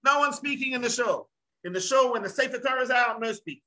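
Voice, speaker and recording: A man voicing a stern male synagogue founder who shouts orders for silence, heard through a webcam on a video call. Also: very high-pitched, fast, voice pushed up. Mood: scolding. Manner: shouting, commanding.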